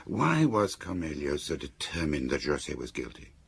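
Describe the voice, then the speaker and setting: A shrewd confidant in a radio play, with an extremely deep, raspy voice. He is probing and considered, weighing each point. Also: sing-song, moderate pace, exaggerated voice. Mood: puzzled, questioning. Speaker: actor in radio drama. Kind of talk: radio drama dialogue.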